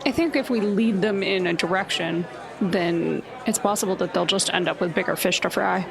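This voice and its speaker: A woman's voice: medium pitch, normal speed, gravelly, crowd noise. A woman playing in a tabletop game, explaining background lore in a matter-of-fact, confident way. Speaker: player. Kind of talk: casual chat in a tabletop game.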